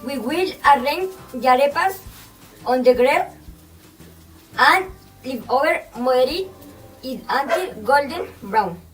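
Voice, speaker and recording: A woman's voice: high voice, expressive, poor audio. A young woman explaining a recipe step by step in a cooking demonstration. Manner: slow, step-by-step instructing. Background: soft music.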